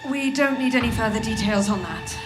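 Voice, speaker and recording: An actress playing a cool female interrogator who questions in a measured, probing way in horror audio fiction. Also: fairly high pitch, lively intonation, lightly acted. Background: music.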